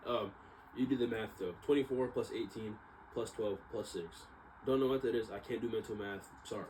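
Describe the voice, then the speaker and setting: A young American man with a fairly low voice talks in a casual, slangy monologue. He rambles and corrects himself as he goes. There is clear background noise with some room ambience. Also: fairly quick. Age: young adult. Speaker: vlogger, exercise science student.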